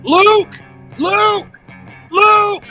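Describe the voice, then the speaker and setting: A man's voice: extremely high pitch, fairly even intonation, extremely slow, creaky, sharp tone, soft background music, heavily acted. A man playing a brash, sardonic smuggler hero in a comedic table read of a space adventure script. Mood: alarmed shouting. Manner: sardonic, blustery.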